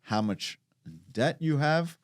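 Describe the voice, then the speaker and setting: A man's voice: a little high, takes it slowly, no echo, studio quality. A man co-hosting a real estate podcast, explaining and asking follow-up questions in casual talk. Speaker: podcast co-host. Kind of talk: casual panel discussion.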